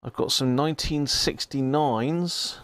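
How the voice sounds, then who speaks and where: A man's voice: medium pitch, a little slow, a bit bright, no echo. A man hosting a casual hobby livestream, chatting matily and muttering to himself as he works at an electronics bench. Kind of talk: casual live chat while working at a bench.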